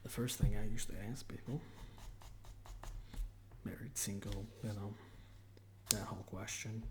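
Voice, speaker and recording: A man in a whispered ASMR roleplay as a portrait artist, chatting hesitantly in short phrases with pauses between them. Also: lowish voice, steady tone.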